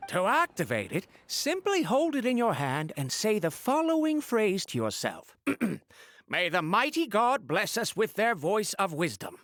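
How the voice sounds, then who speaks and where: A woman's voice: mid-range voice, wildly swooping pitch, measured pace, no room sound, studio quality. A woman playing a courteous, official city gatekeeper in a video game, speaking in a formal, polite, explanatory way.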